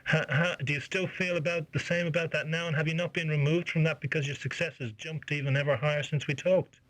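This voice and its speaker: A man's voice: fairly high pitch, fairly even intonation, quick pace, a bit bright, a little hiss or hum, poor audio. An Irish man working as a music journalist, interviewing someone conversationally over the phone. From Ireland. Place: on a phone call.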